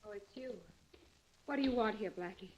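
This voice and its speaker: A woman's voice: mid-range voice, brisk, darkish tone, lightly acted. A woman playing a defensive, resentful prisoner in 1940s radio style, insistent in her delivery. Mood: bitter, defensive. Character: bitter, defensive jailed woman protecting her brother. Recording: dull lo-fi old radio recording.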